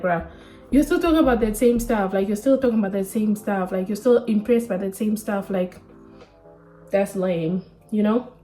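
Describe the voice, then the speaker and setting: A woman's voice: medium pitch, mellow. A woman in her twenties from Namibia, chatting candidly and casually to camera in a sit-down vlog, full of slang. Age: 20s. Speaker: vlogger.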